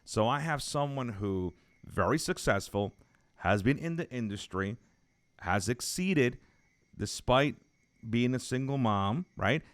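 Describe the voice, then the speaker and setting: A man's voice: medium pitch, animated, unhurried, no room sound. A man hosting a conversational interview, emphatic and repeating phrases as he builds a point. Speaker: podcast host.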